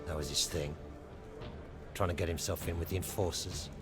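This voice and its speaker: An actor playing a tough, laconic male bounty hunter in a gritty sci-fi game, terse and gruff. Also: low-pitched, steady tone, moderate pace, natural voice. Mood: guarded. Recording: long hall reverb under clear music.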